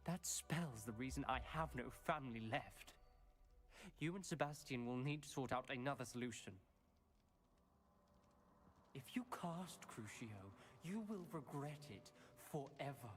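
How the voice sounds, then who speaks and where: A man's voice: medium pitch, moderate pace, fairly bright tone, natural voice. A man playing a bitter, principled teenage boy haunted by guilt, in a scripted video game. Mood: stern warning.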